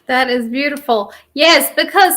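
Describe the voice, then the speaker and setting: A woman hosts the interview with a bright, forceful, slightly high voice. She keeps an encouraging, affirming manner as she prompts her guest. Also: measured pace. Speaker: podcast host. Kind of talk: conversational interview.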